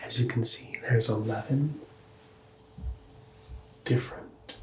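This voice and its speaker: A man softly whispering as a calm doctor in an ASMR roleplay, talking gently and reassuringly to the listener as a patient. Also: medium pitch, slow pace, a little hiss or hum, poor audio. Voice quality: hushed, whispery, breathy.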